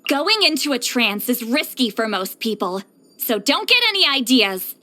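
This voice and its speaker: A woman's voice: on the high side, sing-song, normal speed, sharp tone. A woman voicing a proud but easily flustered shaman master in an anime-style video game, with haughty poise that slips into awkward defensiveness. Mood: relaxed.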